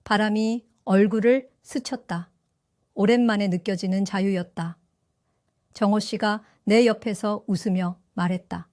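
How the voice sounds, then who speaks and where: A woman in her seventies narrating her own story for an audio drama, in a gentle, reflective and wistful way. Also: mid-range voice, steady tone, a little slow, no echo, studio quality. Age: 70s. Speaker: first-person narrator.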